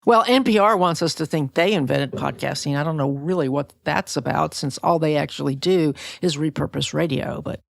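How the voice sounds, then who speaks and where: Gravelly low-key woman interviewer. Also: normal speed, hardly any pauses, no room sound, clean studio sound. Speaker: podcast host and interviewer. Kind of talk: conversational interview.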